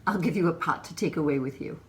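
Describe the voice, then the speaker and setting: A woman playing a tactful, hospitable married lady of a vicarage in a dramatized novel reading, polite and measured. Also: low voice, lightly acted. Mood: wry.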